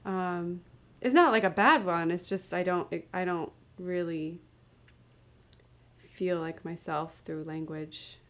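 A woman's voice: lowish voice, takes it slowly. A woman interviewing a guest in a casual chat, speaking in a hesitant, thoughtful way.

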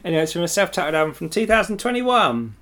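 A man's voice: sits high, big pitch swings, quick pace, a bit bright. A man co-hosting a music show, bantering and laughing between tracks in a loose, tipsy way. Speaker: radio show co-host.

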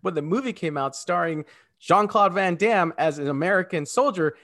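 A man's voice: high voice, normal speed, a bit bright. A man in his forties talking casually and emphatically as a podcast co-host, like an enthusiastic fan. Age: 40s. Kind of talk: casual chat.

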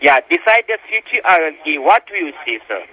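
A man's voice: fairly high pitch, big pitch swings, fairly quick, breathy edge. A man giving repetitive, insistent step-by-step instructions as a tech support caller, addressing the listener as sir over a phone line. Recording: tinny phone line with background noise.

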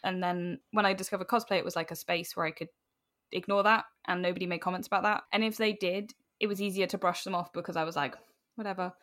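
A woman's voice: on the low side, fairly even intonation, fast, a bit bright. A British woman in her late twenties chatting casually and candidly as an interview guest, in an informal way, often acting out remembered remarks. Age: late 20s. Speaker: podcast guest, cosplayer and writer.

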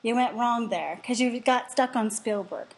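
A woman's voice: a little high, moderate pace, slightly rough. A woman co-hosting a casual chat, teasing and wry with self-deprecating jokes. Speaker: co-host.

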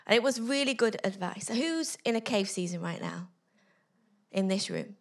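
A woman's voice: medium pitch, lively intonation, normal speed, gravelly. A middle-aged woman giving an inspirational talk on stage to a live audience through a handheld mic, telling a story with frequent laughter. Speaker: conference speaker.

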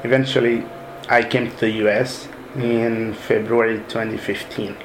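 A man in his thirties from Sudan, softly recalling his move abroad in an unhurried way with many pauses. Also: mid-range voice, level tone. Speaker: interviewee. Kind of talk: casual personal recollection. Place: room in a mosque. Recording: echoey room with ambient noise.

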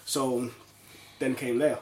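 A man's voice: medium pitch, little pitch movement, takes it slowly. A man in his mid-twenties chatting casually, teasing and laughing. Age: 20s. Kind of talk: casual chat. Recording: echoey room with faint noise.

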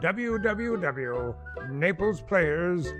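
A man's voice: high voice, very animated, very slow. A man reading a scripted closing announcement as the announcer of a radio theater production. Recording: clear music behind the voice. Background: music.

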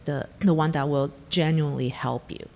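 A woman's voice: sits low, fairly even intonation, normal speed, a little mellow, big-room sound, a little hiss or hum. A middle-aged woman from Taiwan, a venture investor telling her startup story in an interview, candid and blunt. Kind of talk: conversational interview.

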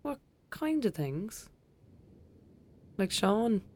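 A woman's voice: medium pitch, sing-song, drawn-out words, darkish tone, full character voice. Blunt young Irish girl. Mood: sceptical. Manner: blunt, casual, colloquial. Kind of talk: naturalistic audio drama acting.

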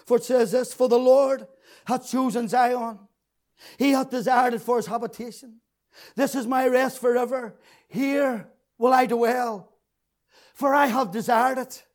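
A man preaching an impassioned sermon, repeating his phrases, swinging from shouted peaks to hushed, tearful lows. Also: fairly even intonation, unhurried. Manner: fervent, repetitive, rising to shouts then dropping low.

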